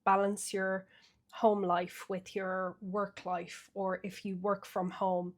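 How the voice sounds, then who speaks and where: Irish woman, sniffly from a cold, slow chatty delivery, tarot reader, casual and thinking aloud. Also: mid-range voice, flat delivery. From Ireland. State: getting over a cold, sniffly. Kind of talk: weekly tarot reading.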